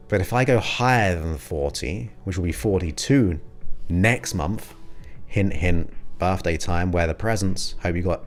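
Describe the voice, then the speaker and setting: A man in his forties hosting a livestream, chatting casually and rambling as he thanks viewers by name. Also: deep voice. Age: 40s. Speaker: livestream host. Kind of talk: casual chat with live viewers.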